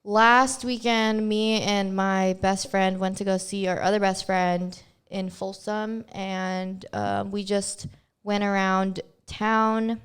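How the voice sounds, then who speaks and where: A young woman co-hosting a casual podcast, chatty and quick to laugh as she reads out personality test results. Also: medium pitch, level tone, clean tone. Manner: giggly, chatty, laughs often. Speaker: podcast co-host. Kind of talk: casual chat, reading test results aloud.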